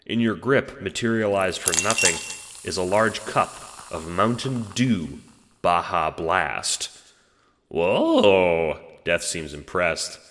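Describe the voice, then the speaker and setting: Deep-voiced man narrating, long reverb. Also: slow, sharp tone, slight background noise. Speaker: audio drama narrator. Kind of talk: scripted second-person story narration.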